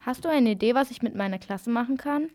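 High-voiced young girl in scripted dialogue. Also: fast, a little mellow. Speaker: youth radio reporter.

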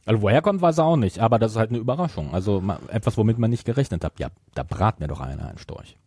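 A man talks casually as a podcast co-host, explaining and correcting. His voice is lowish, mellow and a bit husky. It is recorded dry. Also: lively intonation, very fast, no room sound. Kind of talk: casual chat, discussing an audio drama.

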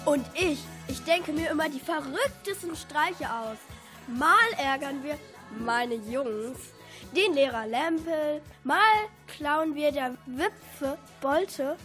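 Woman as a cheeky high-voiced prankster bookworm. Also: slow pace, a bit bright, soft background music. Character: cheeky prankster bookworm from a classic picture book. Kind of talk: children's radio play.

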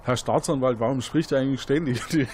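A man's voice: mid-range voice, a little fast, darkish tone, slight background noise, natural voice. A man voicing a chatty, simple, friendly groundsman with a folksy Bavarian accent in an audio game. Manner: folksy, informal.

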